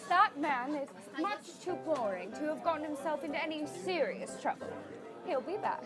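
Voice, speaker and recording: High, teasing society belle acted by a woman. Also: expressive, moderate pace, a loud crowd. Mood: playful. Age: young adult. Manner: theatrical, teasing. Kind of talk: scripted radio drama acting. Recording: loud music behind the voice.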